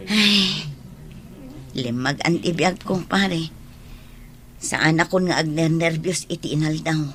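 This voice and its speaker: An actress playing a flirtatious, gossiping friend with a Filipino Ilocano accent, teasing and forward in a conversational radio drama. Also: female voice, low-pitched, slow, fairly bright tone, slight background noise.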